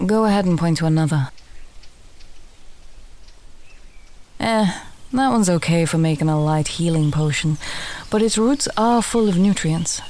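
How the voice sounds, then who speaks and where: A woman's voice: fairly low pitch, moderate pace, darkish tone, natural voice. A woman playing a chatty, drily humorous alchemist and herb gatherer in a fantasy audio roleplay, talking straight to the listener. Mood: friendly, instructive. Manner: conversational, instructive, drily humorous.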